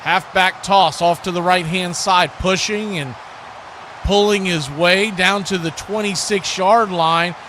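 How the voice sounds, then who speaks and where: A man's voice: high voice, fairly even intonation, unhurried, sharp tone, slight background noise. A man hosting a live football stream, mixing play-by-play commentary with casual chat in folksy, colloquial phrasing.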